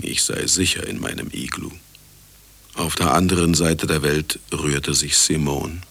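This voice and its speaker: Very deep gravelly older man. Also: a bit bright, exaggerated voice. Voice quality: gravelly, husky. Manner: wry, brooding, theatrical. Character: aging self-absorbed ex-husband and radio narrator, wry, bitter. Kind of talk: radio drama acting and narration.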